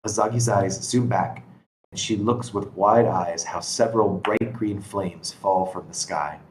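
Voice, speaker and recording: A man's voice: deep voice, a little slow. A man reading scripted stage directions as narrator at a screenplay table read. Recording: constant background noise.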